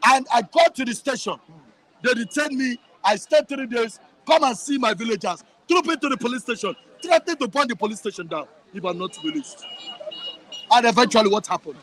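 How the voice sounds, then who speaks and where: Impassioned high-voiced Nigerian man. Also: expressive, brisk, fairly bright tone, rough recording. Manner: passionate, emphatic, repeats phrases. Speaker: guest. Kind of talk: impassioned interview answers.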